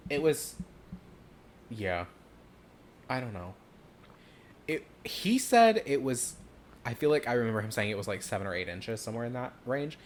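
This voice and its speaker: A young woman with a Southern US accent co-hosts a casual podcast, telling blunt stories and laughing often. Her pitch swings widely, climbing high when she gets excited. A faint hiss sits under the recording. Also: normal speed, long pauses. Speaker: podcast co-host. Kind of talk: casual chat.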